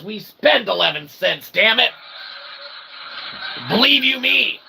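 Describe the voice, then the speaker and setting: A man hosting a comedy show in a rambling, mock-earnest monologue, halting and trailing off between phrases. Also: high voice, creaky, sharp tone, slight background noise, rough recording. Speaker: comedy show host and author.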